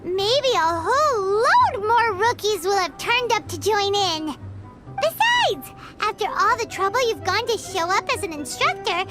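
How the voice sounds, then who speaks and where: Tiny fairy sidekick, piercingly high and squeaky, excitable, chirpy game dialogue over prominent music. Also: female voice, a little slow, exaggerated voice. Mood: chatty, explanatory. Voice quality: squeaky, childlike. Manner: excitable, refers to self by name. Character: tiny chirpy, bossy fairy-like girl companion.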